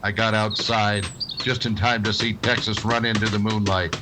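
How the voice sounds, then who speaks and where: A man playing a stern, wry frontier marshal in a western radio drama, steady and unyielding. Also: on the low side, moderate pace, noisy background, lightly acted. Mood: grave.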